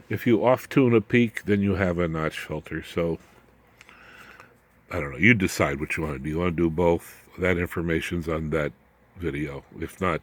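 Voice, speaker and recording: Very deep-voiced man giving folksy technical demo. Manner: folksy, rambling, plain-spoken. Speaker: electronics hobbyist presenter. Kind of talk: informal hands-on technical demonstration. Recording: clear background noise, room ambience.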